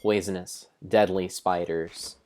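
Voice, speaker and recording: A man giving an improvised dramatic narration of a game like an adventure storybook, with deliberate, drawn-out phrasing. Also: low-pitched, steady tone, darkish tone, soft background music.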